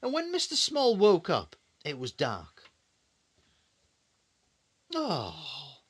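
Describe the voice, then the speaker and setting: A man's voice: high voice, huge pitch swings, measured pace, a bit bright. A man reading a bedtime story to his young child in a conversational way, with questioning asides and dramatic repetition.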